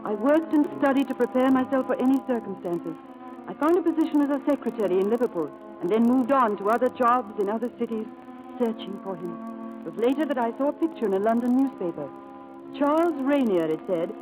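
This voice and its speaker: An actress playing a devoted, yearning wife in a 1940s radio drama, speaking with earnest, restrained wistfulness. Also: female voice, fairly high pitch, narrow, tinny audio, natural voice. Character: devoted, yearning wife secretly working as secretary. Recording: old radio broadcast with music.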